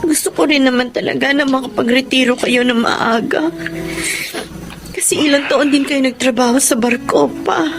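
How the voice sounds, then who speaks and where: Kate is a grown daughter worn out from years of providing for her family. Her voice sits high and sounds earnest and emotional. Music plays under her lines in this radio drama. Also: steady tone, normal speed, creaky. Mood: pleading. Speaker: daughter who has long supported her family.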